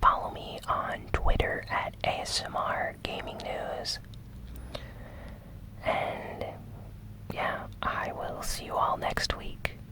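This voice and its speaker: Slow, fully whispered ASMR gaming news host. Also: rough recording. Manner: soft, unhurried whispering.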